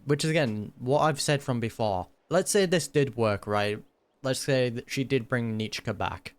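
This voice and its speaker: A man's voice: medium pitch, moderate pace. A young man giving casual, emphatic, slangy commentary as he reacts to a video game. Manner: slangy, emphatic, uses dude and bro.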